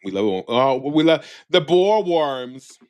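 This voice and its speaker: A man co-hosting a podcast, chatting in a sassy, slangy, animated way over a video call. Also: mid-range voice, takes it slowly. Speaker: podcast co-host. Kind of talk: casual chat.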